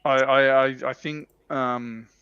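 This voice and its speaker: A man co-hosting a livestream, musing tentatively and haltingly in casual hobby talk, with slightly muffled audio. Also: medium pitch, steady tone, sharp tone, smooth, no echo. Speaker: livestream co-host.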